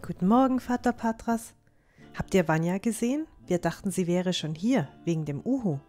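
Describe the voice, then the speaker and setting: A woman's voice: lowish voice, lively intonation, normal speed, mellow, faint music behind, natural voice. A woman playing an earnest, friendly teenage village girl in a fantasy audio drama.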